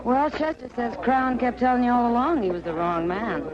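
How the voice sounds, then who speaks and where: An actress playing a warm, teasing, confiding frontier saloon woman in a radio western, with an American accent. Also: fairly high pitch, expressive, fairly quick, a crowd in the background, close to an everyday voice. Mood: interested. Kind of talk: 1950s radio western acting. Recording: muffled old radio recording, music.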